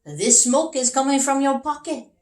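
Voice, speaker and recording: A confident, inquisitive detective from a children's storybook, played by a woman reader. He speaks deliberately and emphatically in a lifted voice, in an echoing room. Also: high voice, a little mellow, pitched above the performer's own voice, natural voice. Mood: polite, confident. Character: calm, curious, confident male storybook detective. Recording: roomy, echoing sound.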